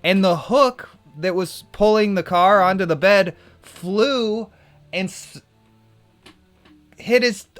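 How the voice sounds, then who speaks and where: A man's voice: sits high, slow, no echo, faint music behind. A man live-streaming a game and chatting casually, telling a rambling story with lots of restarts and fillers. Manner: animated, rambling, many restarts and "like". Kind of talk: casual storytelling while gaming.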